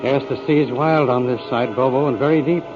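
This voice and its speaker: An actor playing a solemn, loyal waterfront friend in a 1940s radio drama. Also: male voice, mid-range voice, fairly even intonation, a little fast, music in the background, lightly acted. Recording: muffled 1940s radio broadcast recording.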